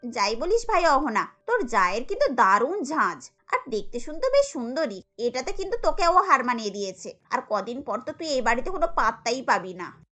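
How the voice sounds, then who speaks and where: Cartoon busybody girlfriend woman performer: mocking, nosy, high-pitched, animated gossip. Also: brisk, faint music behind, full character voice. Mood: teasing. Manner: teasing, prying.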